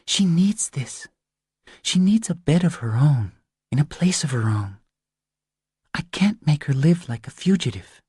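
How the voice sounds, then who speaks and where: A man voicing a weary, determined but frightened young mother with an earnest, hushed delivery, in an audiobook. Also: fairly high pitch, lively intonation, unhurried, long pauses, slightly rough, no echo, studio quality, voice pushed up, heavily acted. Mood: tender, hushed.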